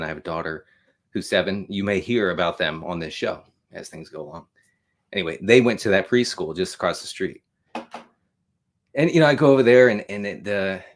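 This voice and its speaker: Man, around forty, American accent, lowish pitch, casual livestream host, rambling, wry, self-deprecating, repeats phrases. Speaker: host of a live show on fatherhood.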